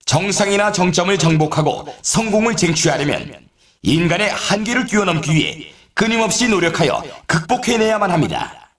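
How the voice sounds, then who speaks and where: A man playing an excitable video game snowboard race announcer, with an emphatic, showy broadcast delivery. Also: high-pitched, roomy sound, full character voice. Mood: solemn, grand. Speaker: sports announcer. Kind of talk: scripted sports commentary for a snowboard video game.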